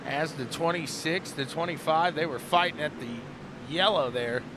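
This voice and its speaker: A man calling a live dirt-track race as the track announcer, animated and repeating phrases for emphasis. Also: a little high, moderate pace. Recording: loud engine and track noise. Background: race cars and outdoor track noise.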